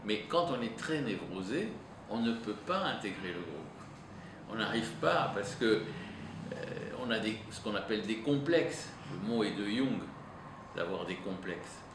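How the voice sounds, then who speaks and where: A man with a low voice, whose first language is Italian, reflects slowly as a psychoanalyst in an interview, pausing often as he thinks aloud. He is heard from a distance in a reverberant room with steady background noise. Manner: hesitant, thinking aloud with frequent pauses. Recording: roomy echo, distant mic, noisy.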